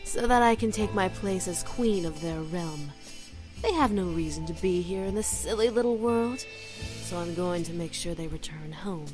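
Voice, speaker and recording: A woman's voice: lowish voice, over music, close to an everyday voice, rough recording. A woman playing a seductive, condescending succubus demon in a visual novel, with a haughty, teasing manner. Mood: smug, commanding. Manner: teasing, haughty, drawn-out taunting delivery.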